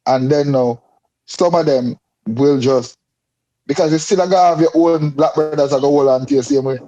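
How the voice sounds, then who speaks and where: A man's voice: on the high side, moderate pace, dark tone, highs cut off. A man with a Caribbean accent talking casually and emphatically, often repeating his phrases as he explains. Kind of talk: casual discussion.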